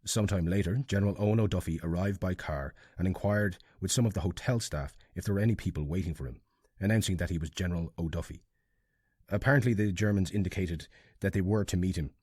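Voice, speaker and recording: Male reader for archival reports; deep, rough-edged voice, formal delivery. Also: brisk, a little mellow, no room sound, clean studio sound. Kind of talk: formal reading of intelligence reports.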